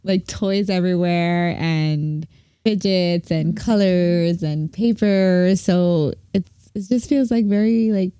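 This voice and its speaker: A woman's voice: on the low side, slow pace, warm tone, smooth, no echo. A young woman from Brooklyn, co-hosting a podcast in casual chat, giggly and full of restarts. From Brooklyn, New York. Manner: chatty, giggly, trailing restarts. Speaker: podcast co-host.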